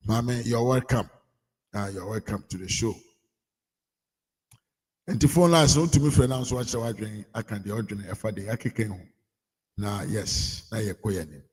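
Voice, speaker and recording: A man's voice: fairly low pitch, normal speed, long pauses, a little mellow, breathy edge. A Ghanaian man hosting a live show, reading out viewers' comments one after another and mixing Twi with English. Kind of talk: casual live-stream chat.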